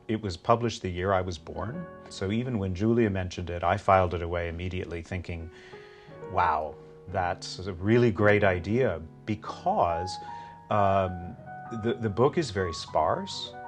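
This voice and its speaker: A man in his fifties with a deep voice. He speaks thoughtfully and haltingly, often restarting as he searches for words. Faint music runs behind him. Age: 50s. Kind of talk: documentary interview.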